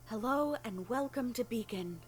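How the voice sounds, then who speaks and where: A woman acts out a formal, stern female professor from an anime fan dub. She addresses new students with measured authority. Music plays clearly behind the amateur recording. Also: on the high side, animated, lightly acted. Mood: formal, solemn.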